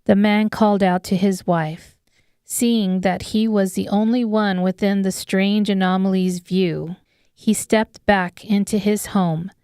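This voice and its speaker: A woman's voice: mid-range voice, steady tone, measured pace, dark tone, clean tone, dry recording, clean studio sound. A woman reading scripted narration of an apocalyptic horror audiobook. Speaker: author narrating her audiobook.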